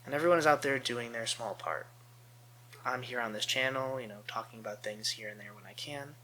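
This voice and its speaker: Bright-voiced American man, emphatic commentator. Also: medium pitch, brisk, a little hiss or hum. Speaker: host of a political commentary channel. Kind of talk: earnest commentary to camera.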